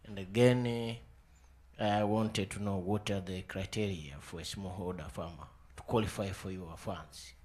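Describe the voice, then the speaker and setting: A man with a Tanzanian accent and a fairly low voice puts questions from the audience at a press conference. He is polite and halting, often repeating words as he goes. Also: steady tone, darkish tone. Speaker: audience member asking questions.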